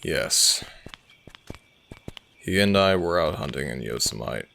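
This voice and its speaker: A man playing a world-weary, centuries-old werewolf alpha in an audio drama, brooding and sardonic, with a gravelly voice. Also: lively intonation, bright, forceful tone, slight background noise, heavily acted. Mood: somber storytelling. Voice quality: very deep, gravelly. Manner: slow, brooding, deliberate. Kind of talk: dramatic audio roleplay acting.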